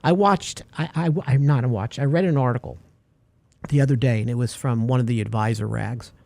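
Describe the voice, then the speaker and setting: A man's voice: mid-range voice, brisk, vocal fry, no echo. A man hosting a radio talk show, chatting casually and acting out little stories as he goes. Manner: animated storyteller, acts out little scenes.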